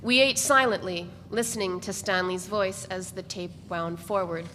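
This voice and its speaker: A woman's voice: medium pitch, steady tone, normal speed, bright, forceful tone. Woman reading a novel in an echoing hall. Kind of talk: dramatic literary reading, scripted narration. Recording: reverberant hall with background noise.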